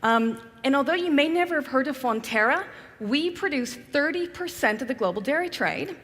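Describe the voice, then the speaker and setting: A woman with a New Zealand accent speaks in a composed, businesslike manner, answering questions onstage. Her voice sits a little high for a woman. A long reverb from the large hall trails behind her. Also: lively intonation, moderate pace, fairly bright tone. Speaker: customer guest on keynote stage. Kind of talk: onstage interview. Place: large conference hall stage.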